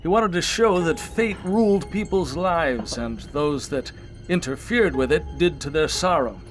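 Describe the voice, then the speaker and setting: Reticent, higher-voiced burly soldier. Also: male voice, animated, a little slow. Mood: guarded, ominous. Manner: hesitant, plain-spoken, ominous storyteller. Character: burly, reticent old soldier back from India. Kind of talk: radio drama acting.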